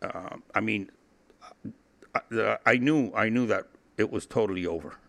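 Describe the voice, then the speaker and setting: A man's voice: on the low side, unhurried, gravelly, fairly bright tone. A middle-aged man, an interview guest, telling a first-person story casually and acting out the dialogue as he goes. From Cuba. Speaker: interview guest, former drug trafficker.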